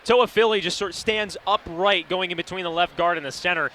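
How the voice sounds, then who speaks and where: A man's voice: high-pitched, fairly quick, sharp tone. A young man giving explanatory color commentary during a live radio football broadcast. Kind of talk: live radio sports analysis. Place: sports broadcast position inside a domed stadium. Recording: faint stadium noise behind. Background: stadium ambience.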